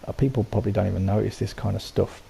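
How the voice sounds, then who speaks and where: A man with a sore throat and a croaky voice, casually talking through how he built something, rambling with lots of ums and restarts. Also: lowish voice, fairly even intonation, quick pace, warm tone, a little hiss or hum. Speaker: game settlement builder. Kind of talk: casual voice-over walkthrough.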